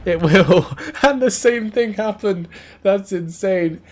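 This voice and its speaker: A man with a hoarse, worn voice that he is losing, giving excitable live play-by-play commentary on a strategy game match and laughing often at surprising plays. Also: high voice, slow pace. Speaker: game caster.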